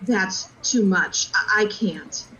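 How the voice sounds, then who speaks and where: A woman's voice: mid-range voice, slow pace, pushed and bright, dull audio, slight background noise. A woman playing a grateful, caring children's nurse with a tender, earnest manner. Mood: grateful, moved.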